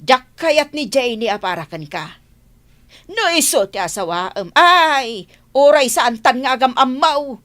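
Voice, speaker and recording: A woman's voice: sits high, animated, a little slow, a bit bright. An actress playing a nagging, talkative middle-aged village mother in a comedy radio play. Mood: fussing. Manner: nagging, chatty, given to exclamations.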